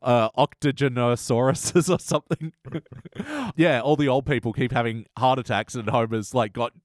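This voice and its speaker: A man's voice: medium pitch, fairly even intonation, normal speed, dry recording, clean studio sound. A man hosting a casual review podcast about a TV cartoon, chatting in a sweary, bantering way. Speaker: podcast host.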